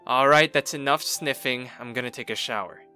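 A man playing a dry, reserved young man in a cartoon comic dub, with a terse, deadpan way of talking. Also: medium pitch, fairly quick, soft background music, lightly acted. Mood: dry, mildly irritated. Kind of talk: animated comic dub acting.

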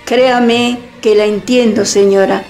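A woman playing a defiant young lady, slow cool delivery, slightly high voice, Rioplatense accent. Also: fairly even intonation, darkish tone. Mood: cool ironic. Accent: Rioplatense Spanish. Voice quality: cool, ironic, measured. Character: proud young woman, sharp-tongued and defiant. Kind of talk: online radio drama acting. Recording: faint music underneath.

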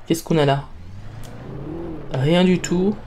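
A young man chats casually over a video game into a close mic. His voice sits a little high but stays soft and mellow, and his manner is playful and self-mocking. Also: steady tone, slow, a little hiss or hum. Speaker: let's play gamer. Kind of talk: casual gameplay commentary.

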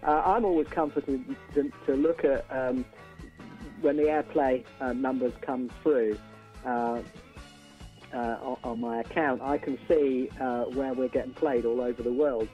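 A middle-aged male musician chatting casually as an interview guest, halting and repeating words for emphasis. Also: mid-range voice, steady tone, muffled recording, soft background music.

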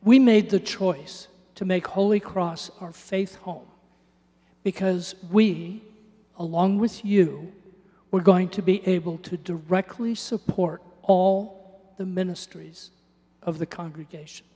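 A man's voice: sits high, steady tone, takes it slowly, mellow. An older man, born in Norway, giving an earnest church testimony into a handheld mic. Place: church sanctuary. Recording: church hall reverb.

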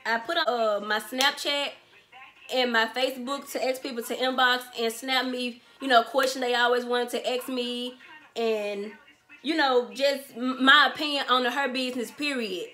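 A twenty-year-old woman from Memphis with a Southern accent, talking straight to camera in an animated, slangy way and repeating herself for emphasis. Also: on the high side, moderate pace. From Memphis, Tennessee. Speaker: vlogger, small business owner. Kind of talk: casual Q&A vlog to camera. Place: bedroom, sitting on a bed, indoors.

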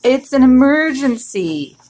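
A woman's voice: fairly high pitch, expressive, takes it slowly. Storybook yak cabbie, male, complaining and long-winded, drawled delivery played by a woman. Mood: urgent. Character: grumbling, rambling yak taxi driver, male.

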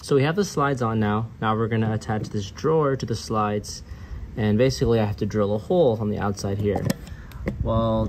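A middle-aged man giving casual how-to narration outdoors, informally thinking aloud as he explains. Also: fairly low pitch. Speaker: DIY vlogger. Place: outdoors in a residential driveway. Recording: clear background noise with clicks.

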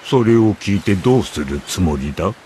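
An actor voicing a guarded old gatekeeper in a Japanese game, curt, solemn and suspicious. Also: male voice, low voice, animated, normal speed, warm tone, slight background noise. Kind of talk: Japanese anime game voice acting.

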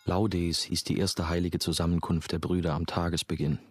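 A man's voice: deep voice, normal speed, slightly rough. An actor playing a young novice monk who narrates his past in a radio play, dry, laconic and sardonic. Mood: dry, reflective. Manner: deadpan, laconic.

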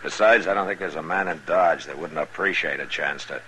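An actor playing a watchful, lonely American marshal in a 1950s radio western. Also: male voice, a bass voice, fairly quick, sharp tone. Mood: easygoing. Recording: noisy 1950s radio broadcast recording.

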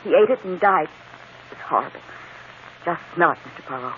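A fretful, frightened young woman, played by an actress in melodramatic radio style. Her halting delivery swings widely in pitch. The recording is thin and boxed-in, with faint noise. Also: animated, lightly acted. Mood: frightened, pleading. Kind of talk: old-time radio drama acting. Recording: tinny, noisy old radio broadcast.

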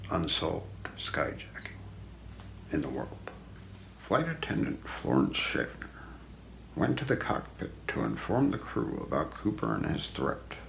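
Middle-aged male ASMR narrator: unhurried, hushed true-crime read with wide swings in pitch, faint background noise. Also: gravelly, poor audio. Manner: hushed, deliberate, often breaking into near-whisper. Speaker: ASMR role-play narrator. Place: small room indoors.

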